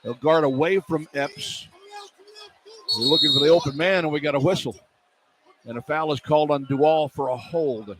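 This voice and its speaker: A man doing live basketball play-by-play on the radio as the announcer, animated and quick to exclaim at the action. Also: a little high. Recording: poor radio feed, clear background noise.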